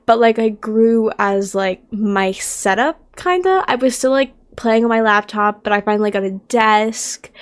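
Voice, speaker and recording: A woman in her twenties, chatting casually to camera in a rambling, self-deprecating way with lots of fillers. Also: fairly high pitch. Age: 20s. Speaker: streamer and content creator.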